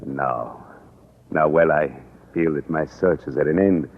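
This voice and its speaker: A man's voice: a bass voice, unhurried, exaggerated voice. An actor playing an amorous, earnest middle-aged Frenchman with a French accent and broken English, in radio sitcom style. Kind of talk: 1950s radio sitcom acting. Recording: tinny hissy old radio broadcast.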